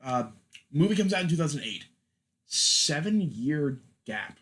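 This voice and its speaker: A man's voice: fairly high pitch, big pitch swings, a little slow, a bit bright. A man in his thirties co-hosting a podcast, talking casually and steering the discussion along. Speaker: podcast co-host.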